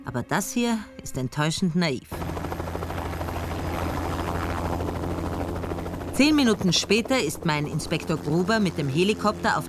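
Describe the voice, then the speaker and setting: An actress playing a determined police chief in a radio drama, narrating in first person with a brisk, probing manner. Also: female voice, deep voice. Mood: scolding. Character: determined female police chief, sharp and commanding.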